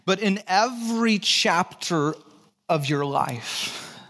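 A man with a Texan accent preaching a conversational, animated sermon, dropping to hushed low lines and jumping into lively high asides. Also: slow pace. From Texas. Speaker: pastor. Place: church sanctuary.

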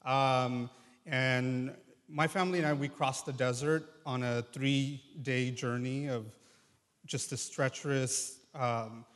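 Halting young man, native Triqui speaker, echoing hall. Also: medium pitch, steady tone, a bit bright. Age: 20s. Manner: halting, earnest. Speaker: guest speaker, medical student. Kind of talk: personal testimony to congregation. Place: large church room, live address. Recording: long hall reverb.

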